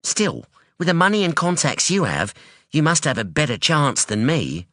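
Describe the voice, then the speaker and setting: Anyi, a man voicing a sharp, defiant young woman. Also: fairly high pitch, wildly swooping pitch, moderate pace, dry recording, clean studio sound, pitched above the performer's own voice. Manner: blunt, challenging, insistent. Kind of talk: audiobook dialogue.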